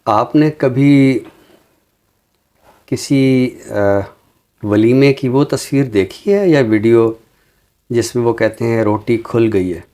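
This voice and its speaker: A Pakistani man speaks at a very slow pace in a medium-pitched voice. He is measured and didactic, pausing deliberately as he explains his social commentary through examples. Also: warm tone. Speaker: teacher and programme guest. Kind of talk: reflective social commentary in an interview.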